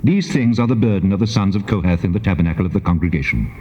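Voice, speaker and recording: A solemn, commanding God played by a man, low and mellow. He delivers archaic scripture with stately, measured authority. The sound is an old cassette drenched in long reverb. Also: lo-fi sound, close to an everyday voice. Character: solemn, commanding God giving instructions to his people. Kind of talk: dramatized scripture reading in archaic English.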